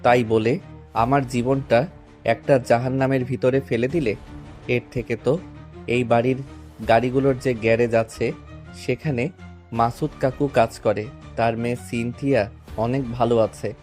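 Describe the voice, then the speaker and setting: Man playing a subdued, flat-toned aggrieved young son. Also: medium pitch, unhurried, pauses often, soft background music, close to an everyday voice. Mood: hurt, reproachful. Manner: pleading, subdued. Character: humble, aggrieved young son forced into marriage. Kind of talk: Bengali audio story drama.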